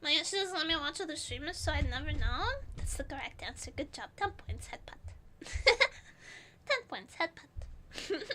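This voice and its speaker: A woman from Portugal, chatting with her stream audience in a loose, rambling way and breaking into giggles often. Her voice sits high and swoops up and down a great deal. It has a bright, sharp edge to it. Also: lively intonation, moderate pace, slight background noise. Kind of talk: casual chat reading live chat.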